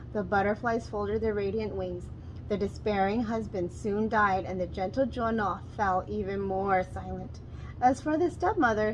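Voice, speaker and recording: A woman reading a folktale aloud as a storyteller, in an expressive children's read-aloud style. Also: medium pitch, a little hiss or hum, low-quality recording.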